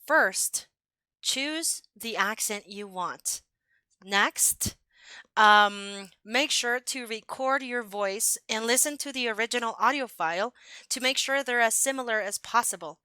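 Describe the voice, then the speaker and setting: A young adult woman with an American accent teaches English in a clear, upbeat way, stressing example phrases so learners can copy them. Her voice sits a little high and sounds bright and forward. The recording is dry and close, with no room sound. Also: measured pace, no room sound. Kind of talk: online English lesson.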